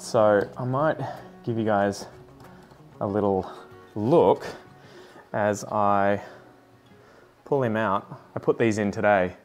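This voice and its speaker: A man in his thirties with an Australian accent, chatting casually and thinking aloud as he does plant chores in his lounge room. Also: fairly low pitch. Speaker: plant vlogger. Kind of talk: casual chat while doing chores. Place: lounge room full of houseplants.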